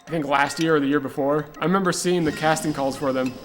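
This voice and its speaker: A man's voice: fairly high pitch, steady tone, fast. A man giving rambling, casual live commentary while speedrunning a game, with lots of "like" and "uh" fillers. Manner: chatty and rambling, full of "like" and "uh"; laughs at himself between points. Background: game music and sound effects.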